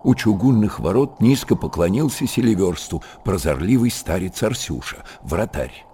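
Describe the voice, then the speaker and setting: An actor narrating a monastery tale in a radio play, lyrical and measured, with dramatic pauses. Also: male voice, sits low, expressive, warm tone, slight background noise. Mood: hushed, storytelling.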